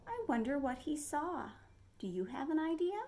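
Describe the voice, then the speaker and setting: A woman's voice: high-pitched, big pitch swings, normal speed, mellow, a little hiss or hum. A woman reading a picture book aloud to young children as a librarian, expressively, in a style that invites listeners in with questions. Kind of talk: animated storytime read-aloud for young children.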